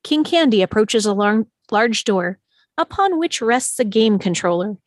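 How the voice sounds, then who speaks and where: A woman's voice: medium pitch, very animated, unhurried, no room sound. A woman reading stage directions as the narrator of a live script table read.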